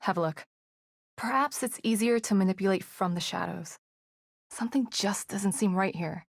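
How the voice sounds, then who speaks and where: Serious game psychologist lady, measured and articulate, really dry close sound. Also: mid-range voice, gravelly, no echo. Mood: composed, serious. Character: composed, earnest female team psychologist under threat. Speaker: video game voice actor. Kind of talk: video game dialogue.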